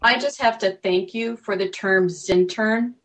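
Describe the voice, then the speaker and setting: A woman with a middling pitch speaks as a producer in a relaxed discussion. She explains things in a measured, matter-of-fact way. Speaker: podcast producer, panel guest. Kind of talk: casual panel discussion.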